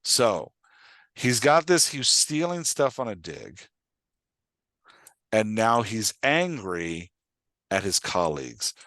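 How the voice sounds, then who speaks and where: A man hosting a casual coaching conversation, explaining in an animated way and often checking that he is understood. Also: fairly low pitch, slow, long pauses, no room sound, studio quality. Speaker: podcast host and writing coach.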